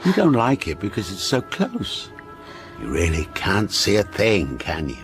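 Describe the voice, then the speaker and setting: Sinister obsessive storyteller, low voice, swooping intonation, hushed insistence, radio ghost play, music underneath. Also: male voice, wildly swooping pitch, a little slow, heavily acted. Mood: quietly menacing. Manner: insistent, hushing, intimate storytelling. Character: menacing, obsessive man telling a ghost story to a captive listener. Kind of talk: radio drama acting.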